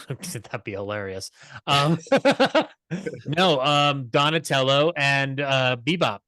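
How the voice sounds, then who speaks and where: A man on a podcast panel chatting casually, haltingly repeating words as he searches for the next one. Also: mid-range voice, expressive, bright, forceful tone, slight background noise. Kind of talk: casual chat about old television.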